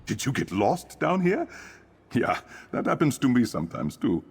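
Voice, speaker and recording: An actor playing a friendly, chatty innkeeper and eager drink inventor in a fantasy video game, theatrical and animated. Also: male voice, medium pitch, moderate pace, creaky, a little hiss or hum. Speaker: innkeeper giving instructions. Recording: long reverb, music behind.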